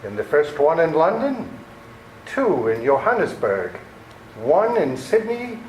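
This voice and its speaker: An actor playing a menacing, proud murderer in an old-time radio play, with a deliberate, emphatic delivery. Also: male voice, fairly high pitch, wildly swooping pitch, darkish tone. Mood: boastful, insistent. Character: menacing, proud male murderer, cold and boastful. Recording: roomy, slightly noisy amateur recording.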